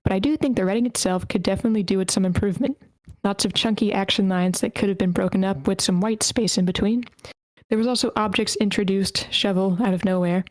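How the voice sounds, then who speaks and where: A woman's voice: mid-range voice, a little mellow, no echo, poor audio. A woman hosting an online table read, reading written comments aloud and moderating the discussion.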